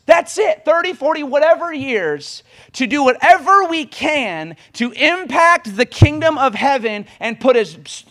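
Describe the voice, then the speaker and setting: A man, preaching an impassioned sermon in rapid bursts that swell suddenly louder. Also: very high-pitched. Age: 20s.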